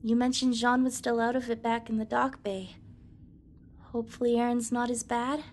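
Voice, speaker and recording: A woman's voice: fairly high pitch, level tone, moderate pace, darkish tone, slight background noise, natural voice. A woman playing a frank, friendly young space cadet in a sci-fi anime-style drama. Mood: concerned. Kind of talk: sci-fi anime parody audio drama acting.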